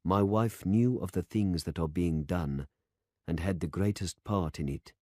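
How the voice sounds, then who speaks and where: A grieving older gentleman writing a formal letter, read by a male narrator. The voice is low, dark and mellow, measured and restrained, and recorded close and dry. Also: no room sound.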